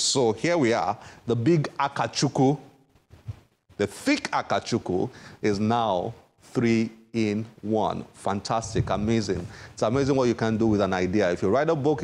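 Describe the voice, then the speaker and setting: A man's voice: mid-range voice, a little mellow. A man with a Nigerian accent hosting a TV book segment, enthusiastic and emphatic, repeating words for effect. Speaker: TV book show host. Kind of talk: enthusiastic presenting to camera.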